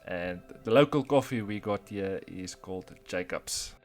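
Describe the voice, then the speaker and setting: A man's voice: sits low, a little slow. A man from South Africa with an Afrikaans accent, narrating a casual hiking vlog outdoors, plain and encouraging, with frequent fillers. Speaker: vlogger. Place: outdoors on a rainforest trail.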